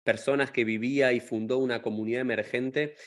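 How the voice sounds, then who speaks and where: A man's voice: medium pitch, steady tone, a little fast. A man hosting an interview podcast, asking long, stacked, rambling questions. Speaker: podcast host.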